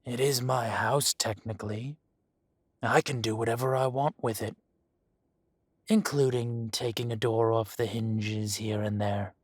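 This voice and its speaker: An actor playing a smug, teasing dragon mafia boss who speaks slowly and often drops to a whisper. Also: male voice, medium pitch, steady tone, no room sound, studio quality, natural voice. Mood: mocking. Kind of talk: audio roleplay acting.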